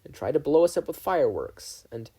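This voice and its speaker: A man voicing a frightened, tearful preteen girl with halting, breathless delivery in an audiobook story. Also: on the high side, big pitch swings, dark tone, slightly rough, pitched above the performer's own voice.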